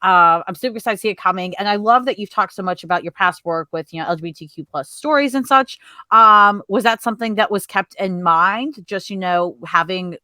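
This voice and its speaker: A woman's voice: medium pitch, brisk, bright, forceful tone, no echo. A woman hosting an interview in a casual, informal, conversational style. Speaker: host of a comics interview show.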